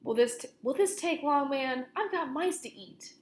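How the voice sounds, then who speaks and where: An impatient, hungry storybook snake, read by a woman, talks bluntly. The voice is fairly high and comes with a roomy echo. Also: animated, normal speed, a little hiss or hum. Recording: roomy, echoing room sound.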